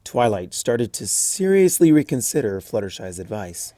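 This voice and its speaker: A man's voice: on the low side, sing-song, moderate pace, dark tone, no room sound. Man reading a fanfic aloud, dry close mic. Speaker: narrator.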